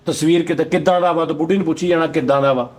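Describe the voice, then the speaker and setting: Fast-talking higher-voiced Punjabi man. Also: fairly even intonation. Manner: argumentative, insistent. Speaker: talk show host. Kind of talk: casual comedic panel chat.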